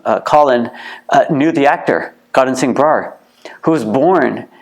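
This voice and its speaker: Canadian guy, middle-aged, gravelly voice, keeps repeating words as he restarts, sounds earnest. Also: mid-range voice. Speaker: museum co-founder, interview guest. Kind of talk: reflective interview answers. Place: heritage museum.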